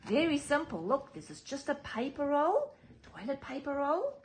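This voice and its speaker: A woman reading a children's picture book aloud in a playful, theatrical way, with dramatic whispers and drawn-out words. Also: high-pitched, big pitch swings, a little hiss or hum, poor audio. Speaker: children's storytime reader.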